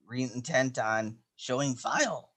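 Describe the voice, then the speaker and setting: A man's voice: medium pitch, unhurried, a bit bright. A man narrating comic panels as a joke, goofy and slurred, replacing first sounds with an r sound. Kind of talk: comedic dramatic reading.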